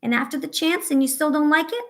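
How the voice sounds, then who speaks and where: A woman reading a picture book aloud to young children as a teacher, pausing to ask questions and offer encouragement and reassurance. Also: high-pitched, fairly bright tone. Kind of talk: read-aloud story with asides to young children.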